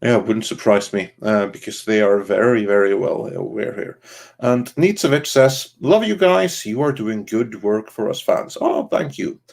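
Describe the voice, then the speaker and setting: A man's voice: on the low side, normal speed, gravelly, a little mellow. A man hosting a podcast livestream and reading out viewer comments. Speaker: podcast host.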